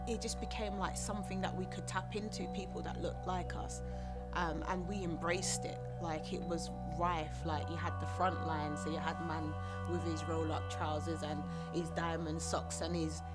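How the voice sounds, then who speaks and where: Woman, lowish voice, loud music behind, chatty interviewee. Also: little pitch movement, normal speed. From South London. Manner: chatty, many fillers like "like" and "um". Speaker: documentary interviewee. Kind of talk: personal testimony.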